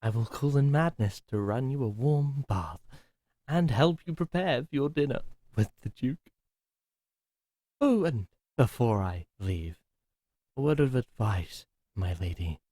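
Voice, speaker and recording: A man's voice: mid-range voice, expressive, measured pace, warm tone, no echo, clean studio sound. A man playing a chipper, theatrical male butler with a hidden menace in an audio roleplay drama, speaking formally.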